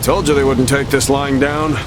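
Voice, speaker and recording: An actor playing a loyal, wry soldier comrade in a video game cutscene. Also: male voice, medium pitch, little pitch movement, normal speed, over music, lightly acted. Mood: wry, banter.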